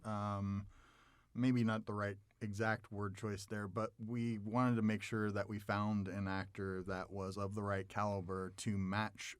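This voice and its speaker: A man with a fairly low, clean and resonant voice hosts a relaxed studio interview. He sounds like a director talking shop, recorded dry and close to the microphone. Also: measured pace, clear, resonant tone, no echo. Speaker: interviewer, audio drama director.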